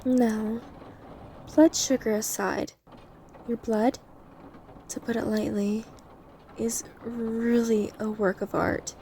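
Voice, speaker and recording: A woman playing an obsessive female vampire lab technician in an ASMR roleplay, coy, softly teasing and eerily polite as she explains herself to the listener. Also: on the high side, little pitch movement, slow, long pauses, a little hiss or hum. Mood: chatty, polite.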